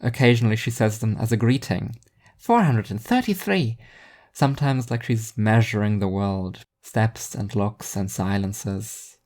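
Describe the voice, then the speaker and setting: A man's voice: on the low side, little pitch movement, normal speed, hardly any pauses, darkish tone. A man reading first-person fiction narration in an introspective, wry manner.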